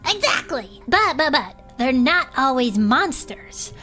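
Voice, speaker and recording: A woman's voice: high-pitched, very animated, slow, sharp tone, soft background music, exaggerated voice. A woman playing a friendly, bookish turtle-folk investigator who explains things earnestly and chirpily as a podcast host in an audio drama. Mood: eager, explanatory.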